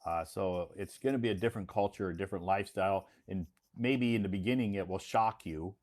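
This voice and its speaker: Reassuring American man, low voice. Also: brisk. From United States. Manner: relaxed, reassuring, repeats himself often. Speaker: English teacher and host. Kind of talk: casual conversation lesson.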